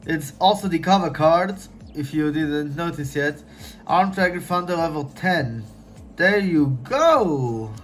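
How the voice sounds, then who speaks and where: A young man giving casual running commentary as he opens trading card packs, chatty, excited on big pulls and low on misses, recorded at home on a desk mic. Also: slow pace, soft background music. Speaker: card-opening youtuber. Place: home room, indoors.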